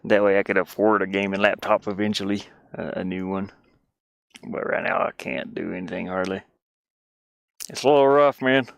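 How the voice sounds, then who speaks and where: Low-voiced man rambling on a casual stream. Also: steady tone, vocal fry, no room sound. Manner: rambling, thinking aloud, halting. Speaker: game streamer and indie game developer. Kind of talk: casual livestream chat.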